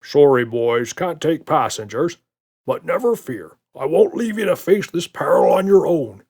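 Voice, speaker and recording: A man's voice: fairly high pitch, lively intonation, unhurried, gravelly, darkish tone, husky, pitched above the performer's own voice, heavily acted. A man voicing a stout, friendly monster in a children's audiobook, chummy and full of confidence. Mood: gallant. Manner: jovial, chummy, self-assured.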